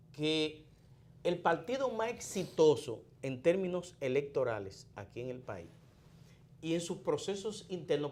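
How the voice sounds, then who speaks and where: A man from the Dominican Republic with a Dominican Spanish accent, his voice pitched a little high for a man. He talks through politics at a measured pace, explaining point by point and stumbling into restarts and fillers along the way. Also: darkish tone. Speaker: commentator. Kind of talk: morning political commentary.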